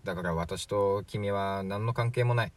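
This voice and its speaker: A man's voice: fairly low pitch, moderate pace, natural voice. A man playing a chatty, flippant 43-year-old from the future in a radio drama, glib and matter-of-fact. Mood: explaining, casual. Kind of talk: amateur radio drama acting.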